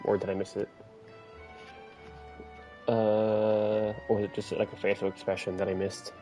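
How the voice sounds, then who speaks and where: A man giving casual play-along commentary as a game streamer, halting as he thinks aloud. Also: on the low side, completely flat delivery, dark tone, faint music behind.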